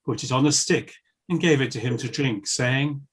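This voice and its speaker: A man narrating scripture in a solemn, measured and formal reading style. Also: medium pitch, breathy edge.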